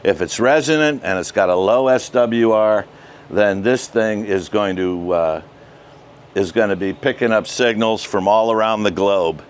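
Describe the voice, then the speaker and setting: A man's voice: on the low side, measured pace, a little hiss or hum. An older man explaining things casually and step by step to camera, outdoors on a lapel mic. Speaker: ham radio vlog host. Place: outdoors in a suburban backyard by patio and shed. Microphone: wireless lapel mic clipped to shirt.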